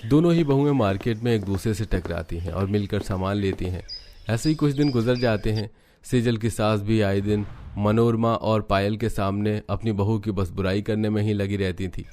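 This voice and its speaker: A man's voice: on the low side, normal speed, darkish tone, slight background noise. A man reading scripted narration for a family drama cartoon. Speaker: story narrator.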